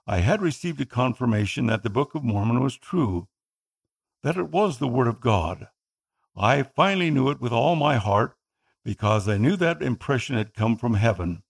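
Earnest gravelly man. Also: mid-range voice, very animated. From Philippines. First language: Tagalog. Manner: earnest, measured reading. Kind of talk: scripted first-person narration.

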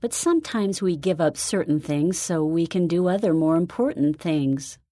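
A gentle, patient mother from a children's story, acted by a woman. Her voice is slightly low and mellow, understanding in manner, in a dry recording. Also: expressive, normal speed, no echo. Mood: comforting. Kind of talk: children's story dialogue reading.

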